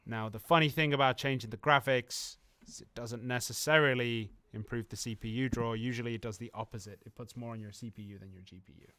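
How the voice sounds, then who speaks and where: A man chatting casually on a game livestream, explaining things loosely with plenty of ums and false starts. His voice is bright and smooth, sounding clear and even. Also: medium pitch, brisk, hardly any pauses. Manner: relaxed, rambling explanations with many ums and restarts. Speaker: community manager on a game stream. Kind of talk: casual livestream chat answering viewer questions.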